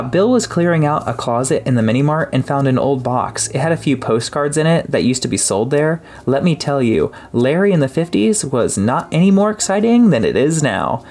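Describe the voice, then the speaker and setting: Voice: medium pitch, darkish tone. A narrator voicing an upbeat, joking father in his twenties in an audiobook. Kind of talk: audiobook character dialogue. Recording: music behind the voice.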